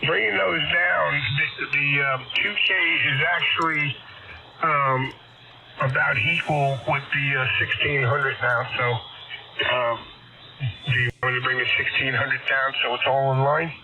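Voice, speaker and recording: A man with a gravelly voice chatting hesitantly as an amateur radio operator on the air. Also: mid-range voice, fairly even intonation, measured pace. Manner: hesitant, many ums. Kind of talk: casual on-air radio chat. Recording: noisy, muffled radio transmission.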